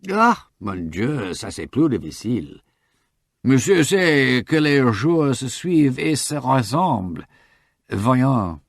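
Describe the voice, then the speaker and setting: A man's voice: medium pitch, very animated, takes it slowly, gravelly, no room sound, clean studio sound, pitched above the performer's own voice, full character voice. A man voicing a chatty young Frenchwoman working as a shop assistant, with a French accent and a raised, lilting voice. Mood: thoughtful. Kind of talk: audiobook character voice.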